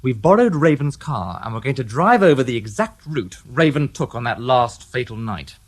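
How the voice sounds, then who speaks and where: Sardonic detective. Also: male voice, mid-range voice, sing-song, normal speed. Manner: dry, sardonic. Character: wry, skeptical middle-aged male detective. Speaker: police inspector.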